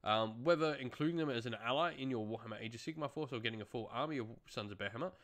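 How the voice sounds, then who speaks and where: A man's voice: medium pitch, quick pace, fairly bright tone. Young man giving a casual review. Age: young adult. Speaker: reviewer, channel host. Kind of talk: casual audio drama review to camera. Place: small home room, indoors.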